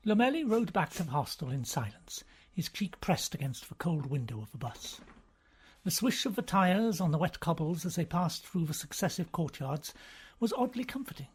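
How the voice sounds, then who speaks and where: A man reading a novel aloud as scripted narration, in a measured, formal storytelling manner. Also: fairly high pitch, big pitch swings, husky. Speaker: audiobook reader.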